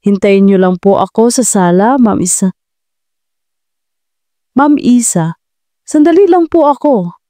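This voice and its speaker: A woman voicing a polite, caring young male chauffeur in a storybook audio drama, speaking respectfully and softly. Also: mid-range voice, expressive, measured pace, long pauses, no room sound, studio quality, natural voice. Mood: concerned, polite. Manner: respectful, soft-spoken.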